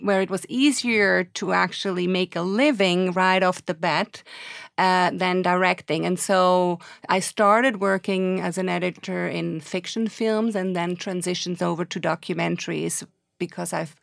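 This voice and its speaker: Gravelly-voiced woman, hesitant. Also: fairly low pitch, no echo, studio quality. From Switzerland. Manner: hesitant, many fillers and restarts. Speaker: film editor and documentary filmmaker. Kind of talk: interview answers.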